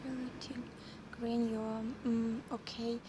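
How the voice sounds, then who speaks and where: A woman's voice: fairly high pitch, flat delivery, normal speed, background noise. Hesitant woman, native Russian speaker. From Ukraine. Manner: hesitant, many ums and uhs, searching for words. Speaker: guest learner. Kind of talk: casual interview.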